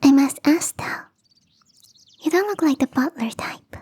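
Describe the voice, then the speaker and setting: A woman playing a shy, playful young catgirl gardener in an intimate ASMR roleplay, speaking softly and hesitantly in a breathy half-whisper. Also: high-pitched, measured pace. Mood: curious, teasing. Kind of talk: ASMR roleplay, intimate one-sided dialogue.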